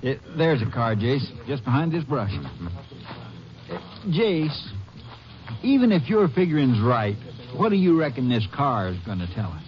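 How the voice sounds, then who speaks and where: An actor playing a folksy, puzzled Western sheriff with a doubtful, drawling manner in 1950s radio drama style. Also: male voice, medium pitch, sing-song, unhurried, pauses often. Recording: muffled, noisy old radio recording.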